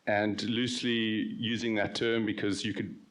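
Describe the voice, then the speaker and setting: Low-voiced man on a panel. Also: moderate pace. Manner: relaxed, conversational, frequent ums. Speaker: venture capital managing director, panelist. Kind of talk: panel discussion.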